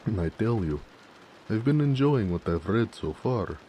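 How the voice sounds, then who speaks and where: Man acting orc blacksmith, mellow dark timbre, unhurried, bashful, affectionate, intimate romance roleplay, faint rainy background noise. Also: fairly low pitch, animated, low-quality recording. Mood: earnest, tender. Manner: soft-hearted, hesitant, with pauses and hedging. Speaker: voice actor in romance audio roleplay.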